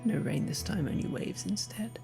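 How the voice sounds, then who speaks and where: Woman, low creaky voice, hushed half-whisper, poetry over loud music. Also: animated, moderate pace. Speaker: poetry reader. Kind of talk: spoken word poetry reading.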